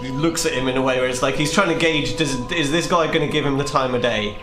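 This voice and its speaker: A man with a mid-pitched voice improvises his character's actions in a tabletop game. The delivery is casual, and the sound is roomy with music underneath. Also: fast. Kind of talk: casual improvised role-play narration.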